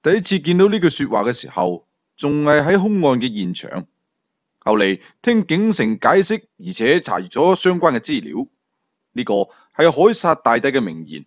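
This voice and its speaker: A man's voice: fairly high pitch, very animated, normal speed, muffled recording. A man with a Hong Kong Cantonese accent narrating a suspense story in a dramatic storytelling manner. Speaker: audiobook narrator.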